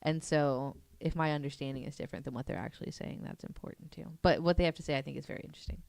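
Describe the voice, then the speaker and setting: A woman's voice: sits low, very fast, vocal fry, darkish tone, dry recording. A young woman co-hosting a casual podcast, explaining research in a chatty way with lots of fillers and giggles. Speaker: podcast co-host presenting research. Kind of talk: casual chat.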